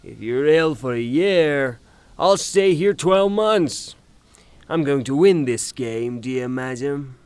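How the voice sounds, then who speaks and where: A man's voice: mid-range voice, lively intonation, takes it slowly, darkish tone, smooth, no echo. A man playing an angry, blustering, woman-hating creditor, ranting theatrically in an audio drama. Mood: defiant, sarcastic. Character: angry blustering male creditor, hot-tempered woman-hater.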